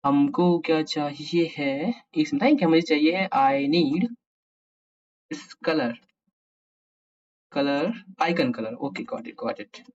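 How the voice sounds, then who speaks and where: A man's voice: fairly high pitch, slow pace, long pauses, a little mellow. Dull-sounding Indian man thinking aloud. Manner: informal Hindi-English mixing, thinking aloud. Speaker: programming tutorial host. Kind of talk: casual screen-share coding tutorial.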